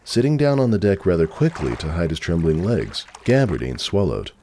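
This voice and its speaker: A man narrating a story as a dramatic scripted reading, measured and expressive. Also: low-pitched, a little hiss or hum.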